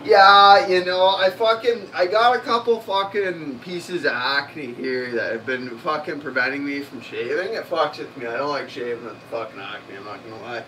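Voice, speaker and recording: A man's voice: a little high, normal speed, few pauses, sharp tone, distant mic, a little hiss or hum, poor audio. A young man in his twenties, swearing and rambling casually while cooking in a small home kitchen. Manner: rambling, heavy swearing and fillers. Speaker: cooking stream host.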